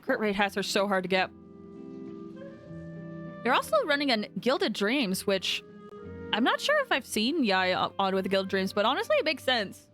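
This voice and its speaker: A high-voiced woman is livestreaming a video game. She talks casually to her chat in a chatty way with animated reactions, over soft game music. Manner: chatty, animated reactions, breaks into singing and laughter. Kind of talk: casual live gaming commentary with chat.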